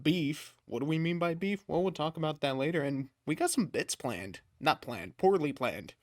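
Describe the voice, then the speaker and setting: A man's voice: a little high, expressive, normal speed, no room sound, clean studio sound. A man in his twenties hosting a podcast, chatting casually and joking with frequent laughs. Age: 20s. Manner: jokey, laughs often. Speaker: podcast host.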